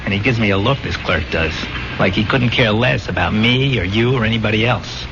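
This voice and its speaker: An actor in a 1970s radio drama playing a weary, haunted war buddy back from the dead, speaking plainly in halting phrases. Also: male voice, on the low side, background noise, lightly acted. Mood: haunted, recounting. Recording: dull old radio recording with music.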